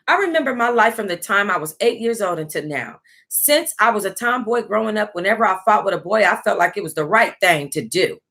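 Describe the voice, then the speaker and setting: An American woman hosting an online book club, reading aloud casually in an animated, salty-tongued way and breaking off to react. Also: mid-range voice. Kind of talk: casual livestream read-aloud with running reactions.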